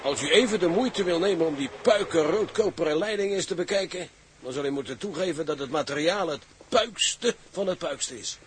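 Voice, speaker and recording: A man's voice: a little high, brisk, a little hiss or hum, close to an everyday voice. A male actor playing an eager, deferential tugboat crewman in a drama, obliging and full of florid patter. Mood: proudly showing off.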